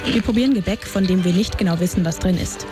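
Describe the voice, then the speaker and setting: A young woman reporter reading a scripted radio feature in a calm, measured way. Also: on the low side, music in the background.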